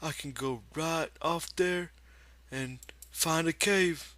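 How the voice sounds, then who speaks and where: A man's voice: fairly high pitch, slow, fairly bright tone, voice pushed up. A man voicing a simple-minded, anxious big man with plaintive, folksy rural speech in an audiobook. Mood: sad.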